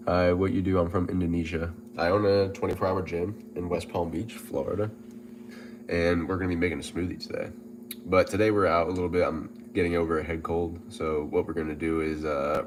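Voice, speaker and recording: A man's voice: deep voice, background noise. A man who is a personal trainer, sounding nasal and stuffed up from a head cold, chatting casually and repeating himself on a livestream. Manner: relaxed, chatty, repeats himself, reads out viewer comments. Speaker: personal trainer and gym owner.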